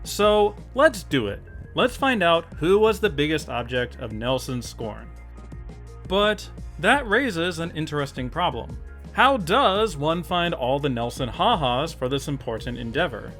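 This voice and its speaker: A man's voice: a little high, sing-song, unhurried, a bit bright. A man hosting a video essay, narrating in a casual, jokey, emphatic way with mock exasperation. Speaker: video host, YouTuber. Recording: music behind the voice. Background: background music.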